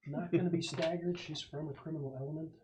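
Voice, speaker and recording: A man working as a game master, narrating a tabletop game in a halting way as he thinks aloud. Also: on the high side, steady tone, a crowd in the background.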